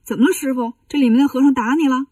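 A woman's voice: a little high, a little fast, warm tone, slightly rough, no echo, clean studio sound, distant mic. A woman voicing a brash, bossy monkey king with a blunt, cocky manner in a storybook tale. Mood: alarmed, protective.

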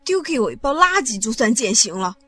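A brash young female assassin, played by a woman with a bright, somewhat high voice. She talks impulsively and with a sharp tongue, often muttering her own thoughts. It is fan audio drama acting. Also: sing-song, a little fast, slightly rough, heavily acted. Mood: grumbling.

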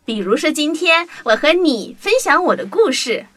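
High, animated woman storyteller. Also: normal speed. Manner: playful, animated, first-person worm diary. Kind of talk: children's storybook narration. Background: background music.

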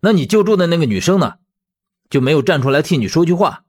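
A man's voice: lowish voice, measured pace. A man voicing a hot-tempered, righteous young former auxiliary policeman in an audiobook, blunt and aggrieved in manner. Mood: indignant. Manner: blunt, indignant, swearing.

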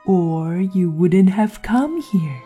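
A woman voicing a sly, riddling, calmly mad cat in a storybook, with a husky, breathy voice and a deliberate drawl. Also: lowish voice, soft background music. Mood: smugly logical. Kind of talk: audiobook character acting.

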